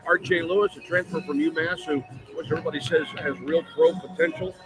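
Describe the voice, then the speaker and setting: A man's voice: fairly high pitch, steady tone, quick pace, low-quality recording. A man working as a play-by-play radio announcer, giving live pregame basketball commentary from an arena. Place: sports arena. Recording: loud music behind live broadcast. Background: loud music.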